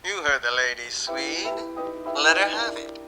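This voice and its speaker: A man's voice: a little high, animated, unhurried, rough recording. A man playing a smug, treacherous general in a film noir, gloating and commanding. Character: smug, treacherous army general, secretly a Soviet agent. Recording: music behind the voice.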